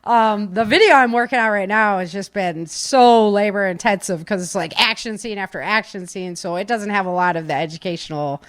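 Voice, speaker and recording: A woman's voice: medium pitch, fairly quick, hardly any pauses, dry recording. Breezy woman hosting a casual podcast. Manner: breezy, informal, slangy. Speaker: podcast host. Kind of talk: casual live podcast chat. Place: home office.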